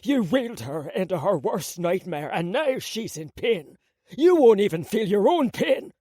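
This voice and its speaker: A woman's voice: medium pitch, very animated, unhurried, mellow, dry recording, clean studio sound, voice pushed up. A woman voicing a bitter, furious father who sneers and accuses his child. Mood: seething.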